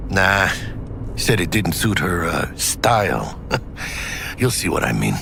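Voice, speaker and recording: An actor playing a streetwise, easygoing gangland mercenary in a video game, gravelly, slangy and cocky, with a Mexican-American accent. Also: male voice, low voice, big pitch swings, slow pace, noisy background, exaggerated voice. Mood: relaxed, confiding. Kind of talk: casual game dialogue.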